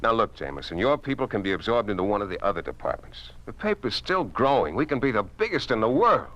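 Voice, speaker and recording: An actor playing a blustering, evasive newspaper publisher in a 1950s radio drama, brusque, placating and pleased with himself. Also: male voice, lowish voice, very animated, quick pace, dull audio, very noisy. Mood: brusque, dismissive. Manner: brusque, placating, self-satisfied.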